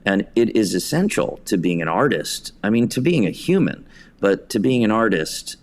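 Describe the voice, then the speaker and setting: Low-voiced man telling anecdotes. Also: big pitch swings, normal speed. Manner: anecdotal, hesitant phrasing, acts out quotes. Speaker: interview guest, theatre director and writer. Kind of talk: conversational storytelling interview.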